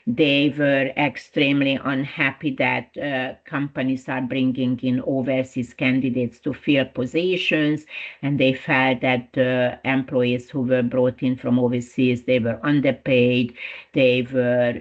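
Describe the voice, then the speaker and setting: Woman with a Hungarian accent, webinar presenter, slow delivery, dull lo-fi audio. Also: very deep voice, level tone. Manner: unhurried, with frequent fillers and restarts. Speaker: principal lawyer presenting a webinar.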